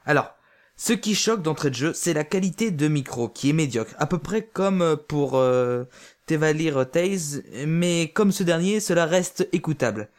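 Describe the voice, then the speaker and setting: A man's voice: fairly high pitch, expressive, normal speed. Hesitant French man giving a review. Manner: hesitant, many fillers and restarts. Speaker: reviewer of amateur audio dramas. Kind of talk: casual spoken review.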